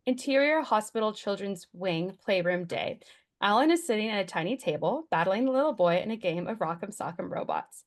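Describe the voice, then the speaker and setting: A woman's voice: mid-range voice, expressive, fairly quick. Narrator for table read: woman, mid-range, scripted scene descriptions. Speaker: stage-direction narrator.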